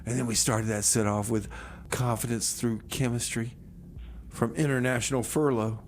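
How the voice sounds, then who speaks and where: A man hosting a comedy radio show with excitable, rambling, casual banter, playing a scrappy bunker survivor. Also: medium pitch, normal speed, a little hiss or hum. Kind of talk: improvised comic banter, casual radio chat.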